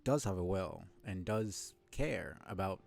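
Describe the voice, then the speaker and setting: A man's voice: low voice, animated, a little slow, vocal fry, darkish tone, faint music behind. A man giving casual live game commentary as a streamer, thinking aloud in a speculative, casually sweary way.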